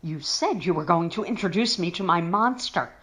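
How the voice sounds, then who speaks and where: A woman's voice: on the low side, expressive, moderate pace, a bit bright. An actress playing an anxious, indignant woman in a radio mystery drama, protesting in alarm. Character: anxious, indignant woman drawn into a dark bargain.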